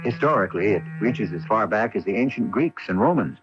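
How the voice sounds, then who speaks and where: Low, animated male radio drama host. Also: brisk, soft background music. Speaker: host introducing a radio play. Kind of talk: scripted dramatic narration. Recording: dull vintage radio broadcast.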